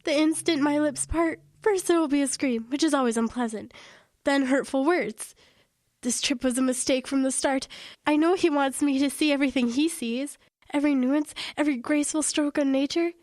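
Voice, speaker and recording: Actress playing an anxious, high-voiced, defiant fiancée. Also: female voice, normal speed, darkish tone, dry recording, clean studio sound, natural voice. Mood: anguished monologue. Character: frightened, doubting, defiant young fiancée. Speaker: actor. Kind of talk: audio drama acting with inner-monologue narration.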